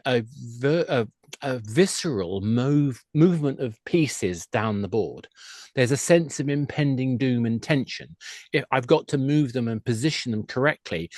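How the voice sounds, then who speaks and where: A man with a mid-pitched voice talks as an expert guest on a podcast. He is enthusiastic and emphatic, rephrasing as he explains. The sound is dry, with very little room in it. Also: no room sound. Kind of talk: conversational explanation.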